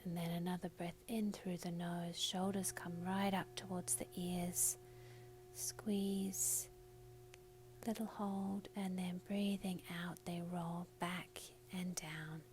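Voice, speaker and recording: Slow, soft-spoken woman guiding meditation. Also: lowish voice, level tone, slight background noise, low-quality recording. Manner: soft, breathy, soothing instruction with frequent pauses. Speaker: meditation teacher. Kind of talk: guided meditation for beginners.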